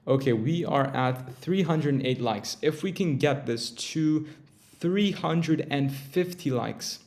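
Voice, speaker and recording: A man's voice: mid-range voice, darkish tone. A young man from Turkey, tired after a long day, hosting a casual livestream in a rambling, chatty way that often interrupts itself. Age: young adult. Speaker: crypto livestream host.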